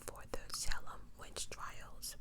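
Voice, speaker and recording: A woman whispering softly and breathily as an ASMR host, reading aloud with informal, incredulous asides. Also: slow pace. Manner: informal, incredulous commentary between read passages. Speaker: host of true crime ASMR channel.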